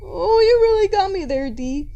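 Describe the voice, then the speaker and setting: A woman's voice: extremely high pitch, expressive, moderate pace, dark tone, dull audio, voice pushed up. A woman voicing a frightened, trusting male robot captive in a dramatic story reading, pleading and stammering. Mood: nervous joking. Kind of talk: amateur dramatic fan fiction reading.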